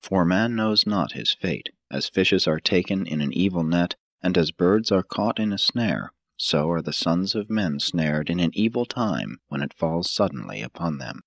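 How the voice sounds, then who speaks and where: A man narrating an audiobook from a script in a measured, formal, solemn manner. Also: low-pitched, expressive, no room sound.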